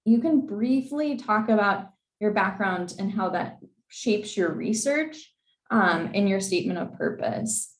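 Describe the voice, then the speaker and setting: A woman's voice: medium pitch, expressive, measured pace, roomy sound, low-quality recording. A young woman who is losing her voice, presenting an informal info session in a chatty way with many fillers. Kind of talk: informal advice talk with slides.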